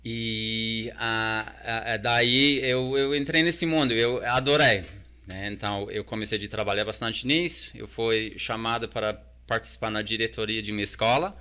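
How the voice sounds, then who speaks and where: A man with a fairly low but bright, forward voice, speaking as a talker. He chats casually as an interview guest, hesitant and often restarting with repeated words. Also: normal speed, clear, resonant tone. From New Zealand. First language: English. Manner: hesitant, repeats words while searching for Portuguese.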